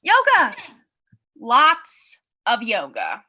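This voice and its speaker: Play reading: frazzled, wry woman, acted by a woman, bright and high-pitched. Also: wildly swooping pitch, drawn-out words, exaggerated voice.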